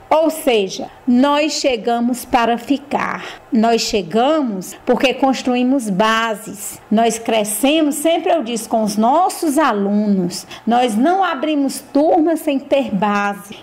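Proud school director, a woman, slightly high voice, talks in emphatic speechmaking bursts. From Ceará, Brazil. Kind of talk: radio interview guest.

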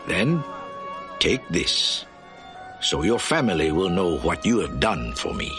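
An actor playing a dignified, gracious old emperor in an animated film, speaking in a stately, measured manner. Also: male voice, low voice, heavily acted. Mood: solemn, grateful. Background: music.

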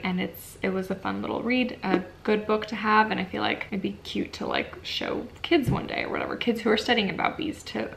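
A woman's voice: a little high, vocal fry, a bit bright, slight background noise. A young woman chatting casually and informally to camera, like a vlogger showing things at home. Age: young adult. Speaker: book vlogger. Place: small furnished room at home, indoors.

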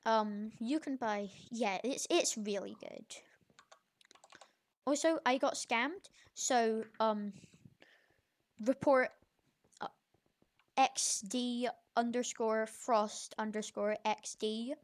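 A young video creator with an informal, halting, self-correcting way of talking, giving a casual game tutorial. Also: medium pitch. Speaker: gaming video creator, host.

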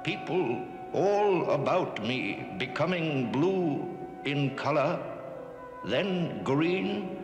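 A man playing a solemn, grieving alien survivor in a cartoon, grave, halting and dramatic. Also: a little high, expressive, exaggerated voice. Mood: solemn, insistent. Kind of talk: 1970s TV cartoon acting. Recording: cavernous reverb, loud cartoon music.

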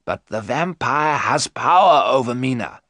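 A man voicing a grave, learned older professor and vampire hunter, speaking emphatically and deliberately in an audiobook story. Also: mid-range voice, expressive, fairly bright tone, dry recording, clean studio sound, voice pushed up.

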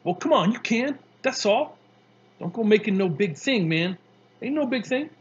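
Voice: mid-range voice, expressive, moderate pace, husky, dull audio, voice pushed up. A narrator voicing a touchy, streetwise boy in a storybook, with slangy, insistent talk that snaps and coaxes. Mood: snappish, coaxing. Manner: slangy, snappish, coaxing.

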